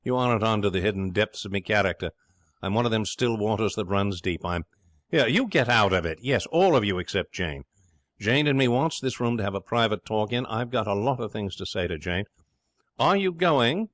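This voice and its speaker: Storybook role, male reader: big belligerent working man, blunt and forceful, dry recording. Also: fairly low pitch, a little fast, dry recording, close to an everyday voice. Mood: angry, defiant. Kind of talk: audiobook character acting.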